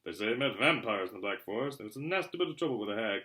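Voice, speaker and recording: A huge, gruff but kindly fellow, played by a male narrator, talks in blunt, clipped, homely phrases. It is a lively storybook character with plenty of rise and fall in the voice. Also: lowish voice, rapid-fire. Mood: breezy, matter-of-fact.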